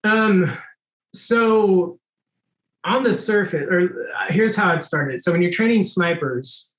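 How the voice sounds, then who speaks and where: A man's voice: sits high, slow, a bit bright, reverberant, rough recording. A man in his forties, a former Navy SEAL guest, talking in a laid-back way and laughing easily. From United States. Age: 40s. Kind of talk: casual interview chat.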